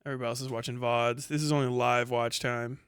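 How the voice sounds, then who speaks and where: A man hosting a casual, unscripted livestream, talking bluntly with profanity, muttering asides and reading things out loud as he goes. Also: medium pitch, level tone, quick pace, smooth, no room sound, studio quality. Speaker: livestream host. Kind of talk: reads names, stats and posts aloud.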